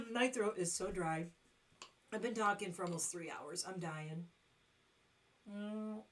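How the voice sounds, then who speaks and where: A middle-aged woman hosting a long casual livestream, hoarse and losing her voice, rambling with lots of fillers. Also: medium pitch, slow, sharp tone. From Detroit. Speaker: livestream host.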